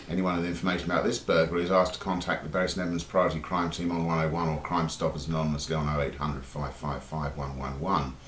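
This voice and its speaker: A man's voice: sits low, fairly bright tone. A man with a British accent reading a scripted local news bulletin. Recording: roomy sound with faint background noise.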